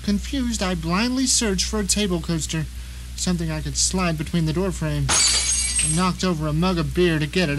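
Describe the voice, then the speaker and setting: A man's voice: high voice, close to an everyday voice, rough recording. A man playing a bitter, paranoid blind novelist who narrates his nightmare in a wry, grumbling, theatrical way for an audio drama. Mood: confused, irritated. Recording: noticeable rain and ambient noise behind.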